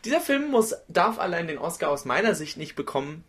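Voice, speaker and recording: A man co-hosting a film podcast, giving animated, opinionated and rambling takes in casual conversation. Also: a little high, brisk. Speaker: podcast host.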